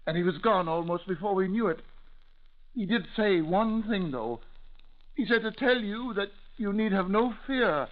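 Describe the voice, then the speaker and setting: High-voiced, fretful old uncle. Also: male voice, lively intonation, moderate pace. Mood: uneasy, resigned. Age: older. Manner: fretful, pleading, exclaiming. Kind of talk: old-time radio drama acting. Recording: tinny lo-fi vintage radio recording.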